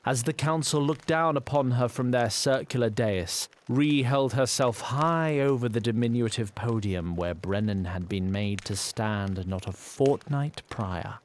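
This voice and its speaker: An actor playing a wry, theatrical narrator of a fantasy saga, reading in ornate literary style. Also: male voice, mid-range voice, unhurried, hardly any pauses, natural voice. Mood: wry, stately. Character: dry, storybook male narrator of a fantasy saga. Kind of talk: scripted narration.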